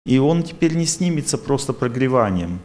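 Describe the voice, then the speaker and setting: A man's voice: mid-range voice, big pitch swings, moderate pace, darkish tone. A man lecturing an audience and taking their questions, talking in a rambling, repetitive but self-assured way.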